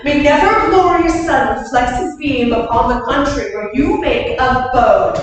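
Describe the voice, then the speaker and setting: A woman's voice: high voice, unhurried. A woman playing a proud, defiant young condemned maid, declaiming impassioned verse in a Shakespearean staged reading. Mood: bitter, cursing. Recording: distant echoey hall recording, faint noise.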